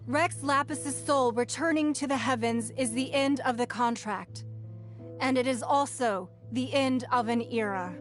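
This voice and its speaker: A woman's voice: sits high, a little slow, faint music behind. A woman playing a poised, noble leader in a video game, giving a solemn, formal public address. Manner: stately, formal, oratorical.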